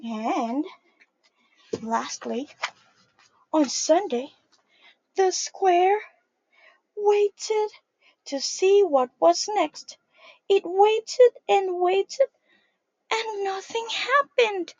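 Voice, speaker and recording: Slow animated woman reading to kids. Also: very high voice, dry recording, lo-fi sound. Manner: slow, animated, sing-song, addressing children as friends. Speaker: early childhood storyteller. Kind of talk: picture book read-aloud for children.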